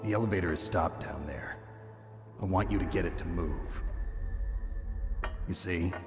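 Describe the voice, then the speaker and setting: A stoic, battle-hardened male soldier from a video game. His voice is low, gravelly and gruff, and he talks in a terse deadpan. Loud music plays underneath. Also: a little slow. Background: loud cinematic music.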